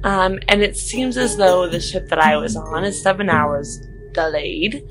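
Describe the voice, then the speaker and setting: A woman's voice: on the low side, a little slow, music in the background. A woman playing a tabletop roleplaying game, talking in character with hesitant, informal phrasing. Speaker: player in a tabletop roleplaying podcast. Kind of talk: improvised in-character roleplay chat.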